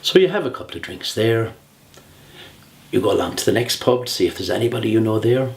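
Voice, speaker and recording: An older Irish man speaks in a somewhat low register, presenting a humorous prepared speech. His delivery is theatrical and measured, with deliberate pauses between phrases. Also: animated. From Ireland. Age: 60s.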